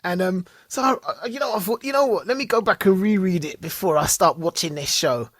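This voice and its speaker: A man with a high, slightly husky voice co-hosts a podcast, talking in an excitable, rambling way and laughing a lot. The sound is close and dry, with no room around it. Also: fairly quick, no echo. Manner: excitable, laughs often, repeats words. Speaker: podcast co-host. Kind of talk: casual chat.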